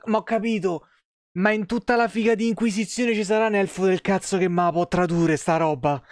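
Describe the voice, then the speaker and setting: Higher-voiced man streaming a game. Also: no room sound. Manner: irreverent, sweary. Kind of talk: live game commentary, reading lore aloud with casual asides. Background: game music.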